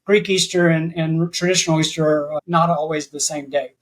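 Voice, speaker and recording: A man's voice: on the high side, steady tone, fairly quick, rough recording. A man talking as a podcast guest in a rambling way, often restarting his sentences. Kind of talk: casual interview chat.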